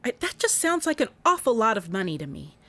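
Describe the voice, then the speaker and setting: Wry, animated private investigator. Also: female voice, fairly high pitch, fairly quick, exaggerated voice. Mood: concerned. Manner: wry, matter-of-fact. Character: brisk, wry female private investigator, a widow. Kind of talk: contemporary radio drama acting.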